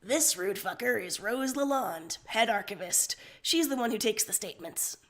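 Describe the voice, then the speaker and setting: A brash and irritable man, acted by a woman reading fan fiction. He is blunt and foul-mouthed, with a grumpy edge and a somewhat high voice. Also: animated, normal speed.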